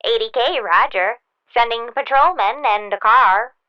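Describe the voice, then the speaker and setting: Through a muffled radio filter comes an actress as a female police dispatcher. Her voice is fairly high and clear. She reads out calls in a brisk, clipped, impersonal way, as in a crime radio play. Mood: official, detached. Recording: muffled, band-limited police radio sound.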